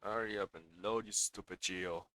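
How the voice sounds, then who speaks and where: A man's voice: lowish voice, moderate pace, no room sound. A young man chatting casually and animatedly as he livestreams a video game. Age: young adult. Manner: animated, chatty, reacting to gameplay. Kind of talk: casual livestream chat while playing. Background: video game music.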